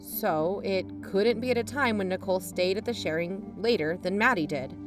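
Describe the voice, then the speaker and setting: A woman playing an investigating aunt who narrates in a measured, explanatory way. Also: medium pitch, music in the background, close to an everyday voice. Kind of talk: scripted audio drama narration.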